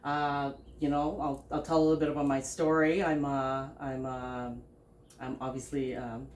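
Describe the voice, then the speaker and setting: A middle-aged woman hosting a podcast from a living room sofa, telling her own story in a halting, casual way with many fillers and short laughs. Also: extremely low pitch, little pitch movement, a little mellow, reverberant. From Philippines, raised in Canada. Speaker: podcast host and business founder. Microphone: stand mic between speakers.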